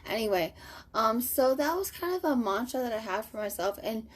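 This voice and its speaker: A woman's voice: a little high, animated, moderate pace. A woman in her thirties hosting a casual live stream from home. She reads viewer comments aloud in an upbeat, chatty way with many fillers. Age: 30s. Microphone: webcam or phone on a live video.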